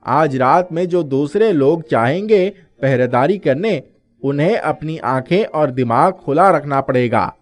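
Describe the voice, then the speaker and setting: A man acts out an agitated villager from a folk tale. His voice sits high for a man, and he exclaims his lines in storybook cartoon fashion. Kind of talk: animated moral story dubbing.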